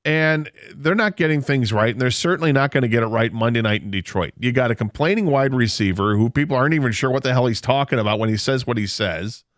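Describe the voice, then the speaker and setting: A man's voice: mid-range voice, quick pace, few pauses, dry recording, studio quality. A man hosting a sports talk radio show, opinionated and emphatic, laughing easily.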